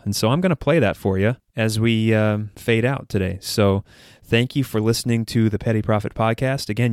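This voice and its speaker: A man's voice: on the low side, a little mellow, clean tone, dry recording, studio quality. A man hosting a solo podcast, partly scripted, speaking in an earnest, sincere manner. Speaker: podcast host.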